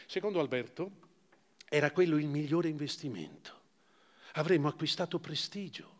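A man giving a measured, expressive theatrical recitation of first-person literary prose, with deliberate pauses. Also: mid-range voice, long reverb tail. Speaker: actor reading literary prose. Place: theatre or hall, live performance.

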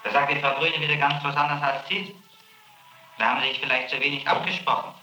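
A male prosecutor lectures and insists, talking fast in a bright, sharp voice. The recording is an echoey old tape with faint hiss behind him. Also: on the high side, steady tone, faint music behind. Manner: insistent, lecturing, presses for the truth. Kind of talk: archival interrogation recording.

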